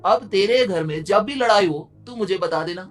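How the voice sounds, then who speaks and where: A man's voice: sits high, moderate pace, soft background music, close to an everyday voice. A man voicing a concerned, self-assured young friend in a Hindi moral-story cartoon. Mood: concerned, reassuring. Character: concerned, confident young male friend offering help.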